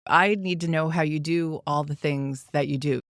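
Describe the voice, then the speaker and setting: A woman hosts a podcast in a voice with a lot of vocal fry. The sound is dry and close, and she moves between scripted announcements and interview questions. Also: deep voice, steady tone, normal speed, no room sound. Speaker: podcast host.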